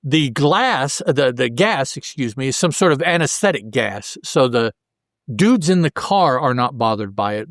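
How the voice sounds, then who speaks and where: A man hosting a podcast, casually recapping a story in a halting way as if thinking aloud. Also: fairly high pitch, a bit bright, dry recording, studio quality. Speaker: podcast host. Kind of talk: casual panel recap of a comic book.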